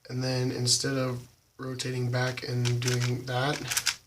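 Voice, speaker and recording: A slightly hoarse young man casually talking through how he solves a puzzle cube, halting with lots of ums. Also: medium pitch, monotone, far from the mic, a little hiss or hum, rough recording. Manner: halting, many ums, soft and quiet. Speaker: YouTube cubing channel host. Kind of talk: casual tutorial walkthrough.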